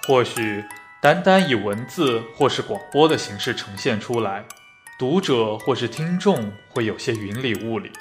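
A man narrates from a script in a medium-pitched voice. Instrumental music plays underneath as he reads, in the manner of an audio programme. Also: a bit bright.

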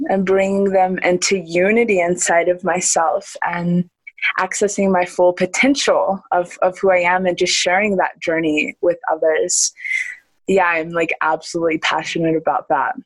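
A woman's voice: lowish voice, level tone, a little slow, no room sound, low-quality recording. A woman in her early twenties, an interview guest talking earnestly and enthusiastically, laughing often and restarting her sentences. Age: young adult. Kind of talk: casual conversational interview.

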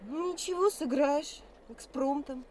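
An actress playing a lively, teasing young fiancee in a radio play, coaxing and insisting. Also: female voice, high voice, unhurried, slight background noise. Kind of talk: 1980s Soviet radio play acting. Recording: theatrical radio play with music behind.

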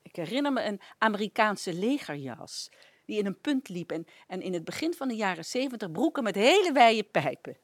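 A woman presenting anecdotes on local radio. Her mid-pitched voice moves up and down in a lively way, and the recording is close and dry. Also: fairly quick, dry recording.

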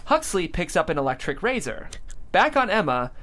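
Animated woman reading stage directions. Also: on the low side, moderate pace. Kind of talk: scripted narration at comedy table read.

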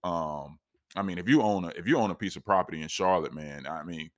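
A very deep-voiced man in his late thirties from North Carolina speaks in a loose, rambling, conversational way, full of restarts and asides. His voice is a little scratchy, and the sound is dry and close with no room echo. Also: a little fast. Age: 30s. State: scratchy voice, sipping tea. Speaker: sports fan commentator. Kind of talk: casual monologue.

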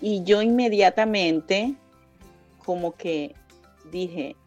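A woman's voice: medium pitch, drawn-out words, a little mellow, faint music behind. A mature Venezuelan woman in her fifties or older, telling her story emphatically as a guest in a conversational interview, often repeating words to build a point. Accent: Venezuelan Spanish. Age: 50s or older. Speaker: interview guest, founder of a women's leadership project.

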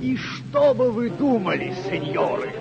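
An urbane storyteller in an old radio play, speaking slowly and theatrically. His phrasing is deliberate and varied in melody. Music runs behind a dull, aged recording. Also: very high voice, big pitch swings, gravelly, exaggerated voice. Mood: dramatic, suspenseful. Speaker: narrator.

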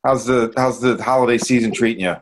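Male podcast host, mid-pitched, relaxed conversational delivery for casual chat. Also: a little fast, slight background noise, poor audio.

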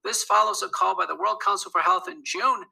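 Assertive male interview guest, sharp bright tone, high and gravelly. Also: fairly quick. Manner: firm, assertive, lists facts steadily. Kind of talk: interview clip.

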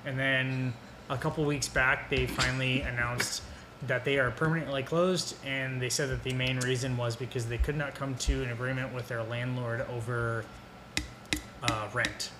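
A man co-hosting a casual sports and beer podcast, reading out beer news in a relaxed, rambling way with many fillers. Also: medium pitch, normal speed. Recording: echoey room with background noise.

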